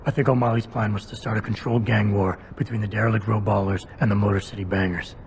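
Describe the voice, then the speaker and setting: An actor playing a stoic, gruff male cyborg investigator in a video game, terse and dryly confident. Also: on the low side, fairly even intonation, fast, darkish tone, a little hiss or hum. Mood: matter-of-fact.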